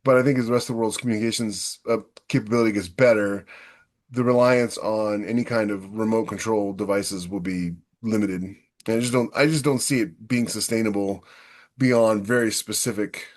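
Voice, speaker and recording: A man's voice: lowish voice, steady tone, moderate pace. A man co-hosting a podcast, talking casually and giving firm opinions. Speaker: podcast co-host.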